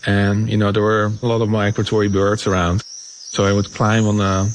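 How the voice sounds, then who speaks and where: A man's voice: on the low side, flat delivery, moderate pace, rough recording. A man from the Netherlands with a Dutch accent, an ecologist recalling his experiences in a personal way. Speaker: ecologist, interviewee. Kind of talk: personal recollection.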